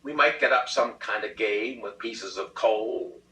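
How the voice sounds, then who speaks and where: A man's voice: lowish voice, a little slow, sharp tone, voice pushed up. A man playing a peevish, childishly insistent husband in a comic short-story reading, pleading and exasperated with heavy emphasis. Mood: coaxing. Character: peevish, childishly insistent middle-class husband plotting murder. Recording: roomy, distant rehearsal recording.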